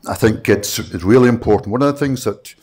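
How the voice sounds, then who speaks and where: Deep-voiced Scottish man on a panel. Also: brisk, darkish tone, slight background noise. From Scottish Western Isles. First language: Scottish Gaelic. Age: middle-aged. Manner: earnest, rambling with many fillers. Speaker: panel speaker. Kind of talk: panel discussion talk. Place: conference room with audience. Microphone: handheld mic.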